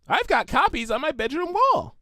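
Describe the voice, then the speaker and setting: Man playing a starstruck pegasus mare, high voice, eager, gushing, faintly creepy, storybook. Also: very animated, normal speed, sharp tone, no room sound, studio quality, full character voice. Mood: cheerful. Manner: cheerful, gushing, slightly creepy.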